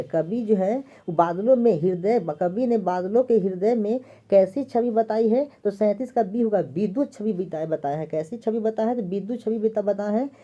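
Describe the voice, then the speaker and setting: Woman exam tutor, very dark voice, muffled lo-fi audio, goes through answers and repeats each one. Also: mid-range voice. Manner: repeats each question and answer several times. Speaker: exam prep teacher. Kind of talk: answer key walkthrough.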